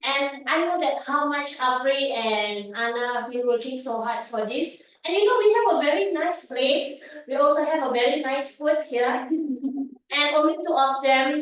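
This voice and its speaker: A woman giving halting, friendly impromptu remarks as a visiting guest at a club meeting. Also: high-pitched, a bit bright. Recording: echoey room, far from the mic.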